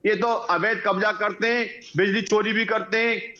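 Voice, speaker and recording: A man's voice: high-pitched, fairly even intonation, moderate pace, sharp tone. A man arguing as a debate panelist in an accusatory, insistent way over dull call-in audio. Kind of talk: heated political argument.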